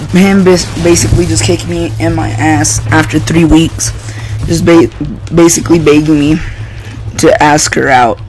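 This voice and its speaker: Higher-voiced young man rambling over gameplay. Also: a little hiss or hum, low-quality recording. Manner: rambling, swearing, slangy. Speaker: gaming video host. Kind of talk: casual profane story time over gameplay. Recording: loud music and gunfire behind. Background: music and shooter game gunfire.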